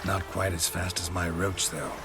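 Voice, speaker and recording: An actor voicing a laconic, world-weary monster hunter in a video game, speaking in short, deadpan phrases. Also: male voice, sits low, normal speed, background noise, close to an everyday voice. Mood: dry, wry. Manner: terse, clipped, deadpan. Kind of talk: video game character acting.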